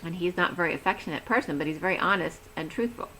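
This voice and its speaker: A woman giving a rambling, chatty tarot reading, talking with a voice that is going hoarse. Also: lowish voice, rapid-fire, pushed and bright, slight background noise, rough recording. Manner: chatty, rambling, laughs easily. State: losing her voice. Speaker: tarot reader. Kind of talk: casual tarot reading to camera.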